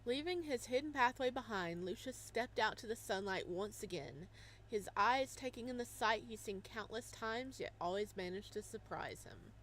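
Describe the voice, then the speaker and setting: A young woman reading a visual novel aloud, breaking off into laughing, excited reactions between passages. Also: on the high side, moderate pace, slight background noise, rough recording. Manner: animated, laughing exclamations between narration.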